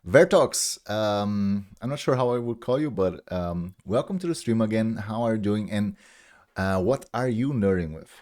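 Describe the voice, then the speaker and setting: A man's voice: fairly low pitch, lively intonation, moderate pace, darkish tone. A man in his thirties with a German accent, a live-stream host chatting casually and rambling with lots of restarts. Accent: German-accented English. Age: 30s. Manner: rambling, chuckles at himself, many restarts. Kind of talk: casual chat with viewers.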